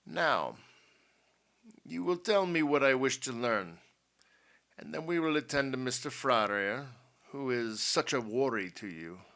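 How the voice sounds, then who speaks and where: A man voicing an imperious, menacing general who interrogates in formal, commanding speech and is quick to anger, in an audiobook story. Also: mid-range voice, expressive, creaky, sharp tone, heavily acted. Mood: menacing, coaxing.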